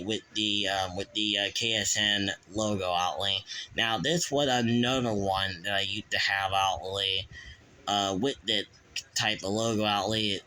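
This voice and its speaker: A man hosting his own channel, showing his collection in a halting, rambling way, full of restarts and fillers. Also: fairly low pitch, bright, forceful tone, rough recording. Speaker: channel host. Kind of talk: casual collection show-and-tell.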